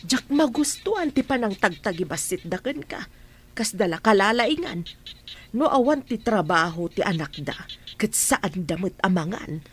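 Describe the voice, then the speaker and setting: A woman's voice: mid-range voice, lively intonation, moderate pace, breathy edge. A woman playing a proud, protective middle-aged mother in a radio drama, talking in an insistent, lecturing way. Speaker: Juliet's mother. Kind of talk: amateur radio drama acting.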